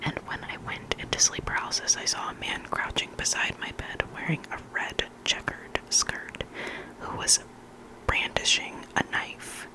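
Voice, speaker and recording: A hushed, breathy whisper reading stories slowly and deliberately in ASMR style, close to the microphone. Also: low-quality recording. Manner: slow, deliberate, hushed, with repeated trigger words. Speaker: host reading listener horror stories.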